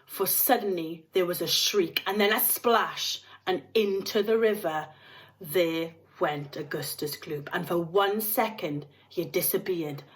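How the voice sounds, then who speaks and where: A woman's voice: lowish voice, a little slow. A woman, a teacher reading aloud, narrating a children's story expressively.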